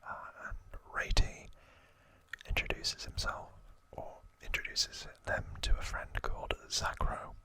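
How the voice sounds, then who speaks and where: A man hosts ASMR in a breathy whisper with no real voicing. He goes very slowly, pausing often as he casually recaps a story. The whisper has a bright, crisp edge. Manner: slow, halting, casual explaining. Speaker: ASMR host.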